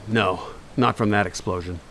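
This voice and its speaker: A man's voice: on the low side, moderate pace, fairly bright tone, a little hiss or hum. A man acting an earnest, polite superhero with a mild-mannered alter ego in a radio play. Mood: heroic, serious.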